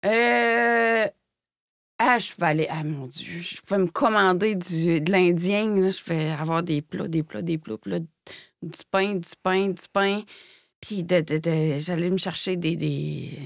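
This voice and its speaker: A woman's voice: fairly low pitch, unhurried, creaky, dry recording, clean studio sound. A woman with a Shawinigan Quebec French accent telling her story in candid casual chat, frank and colloquial, often searching for words. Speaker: podcast guest, former model and designer.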